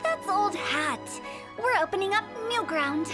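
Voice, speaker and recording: Eccentric, very high-voiced young businesswoman. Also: expressive, measured pace, loud music behind the voice. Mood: gleeful. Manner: breezy, theatrical, persuasive. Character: cheerful, eccentric young female funeral parlor director, salesy. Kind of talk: anime game voice acting.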